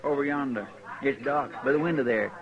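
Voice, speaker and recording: An actor playing a folksy, deferential marshal's sidekick, homespun and hesitant, in a 1950s radio western. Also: male voice, medium pitch, brisk, a crowd in the background, close to an everyday voice. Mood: eager. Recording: muffled, noisy 1950s radio recording.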